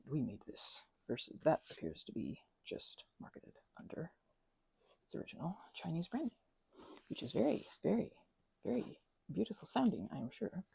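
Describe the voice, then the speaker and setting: Woman, young adult, rambling craft chat, mellow husky tone, lively swooping pitch. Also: normal speed, poor audio. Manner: chatty, rambling, drifts between soft whispers and louder asides. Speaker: ASMR creator.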